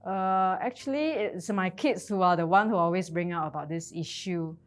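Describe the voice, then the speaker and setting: A middle-aged woman speaks in a fairly low voice. She is candid and casual, repeating her phrases as she explains, and music plays faintly behind. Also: normal speed. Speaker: interviewee.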